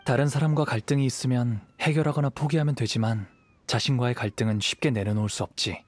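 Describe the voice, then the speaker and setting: A man playing a calm, thoughtful young wandering swordsman in a video game, soft, composed and gentle. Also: medium pitch, fast talker, dry recording, close to an everyday voice. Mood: reflective.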